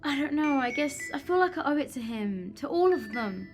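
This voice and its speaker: Woman playing high-voiced, earnest young vlogger. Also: animated, brisk, over music. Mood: vulnerable, confiding. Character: earnest, self-doubting young female vlogger. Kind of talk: audio drama acting.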